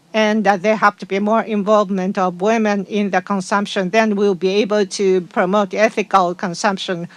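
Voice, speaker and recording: A woman working as a conference interpreter, rendering a speech into English in a halting way with frequent fillers. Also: medium pitch, dry recording.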